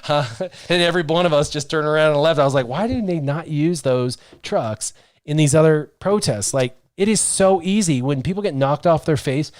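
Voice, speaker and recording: American man, higher voice, chatting casually. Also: moderate pace, dry recording, studio quality. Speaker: livestream host. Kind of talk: casual chat.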